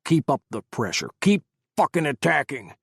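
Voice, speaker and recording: A man's voice: fairly high pitch, sing-song, normal speed, vocal fry, breathy edge, no echo, studio quality, full character voice. A man voicing a furious male commander who bellows orders in an audiobook. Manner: angry bellowing.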